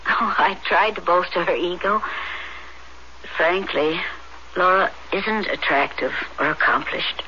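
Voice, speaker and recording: Sharp-voiced, fretful middle-aged mother. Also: on the low side, unhurried. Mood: worried, frank. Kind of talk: old-fashioned radio drama acting. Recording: dull, noisy old radio recording.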